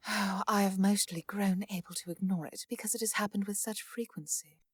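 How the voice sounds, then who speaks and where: A woman's voice: medium pitch, fairly even intonation, brisk, breathy edge, no echo, studio quality, natural voice. An actress playing a poised, courteous noblewoman in a fantasy audio drama, speaking in a formal, composed way.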